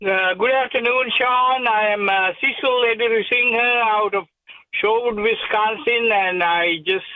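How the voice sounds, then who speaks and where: A man's voice: high voice, measured pace, dry recording. Older Sri man on a phone line. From Sri Lanka. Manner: eager, effusive, stumbling repetitions. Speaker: caller.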